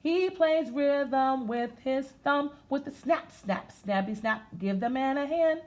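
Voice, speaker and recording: A woman reading aloud to children in an expressive, sing-song way, talking to them directly as she goes. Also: high-pitched, takes it slowly. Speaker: children's story-time reader. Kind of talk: interactive read-aloud to children, part sung.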